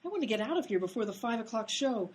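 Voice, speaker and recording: Woman as a high-voiced, exasperated showgirl. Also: sing-song, fast, slightly rough. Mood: grumbling, exasperated. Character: grumbling, exasperated vaudeville tap-dancer woman. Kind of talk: old-time radio comedy acting.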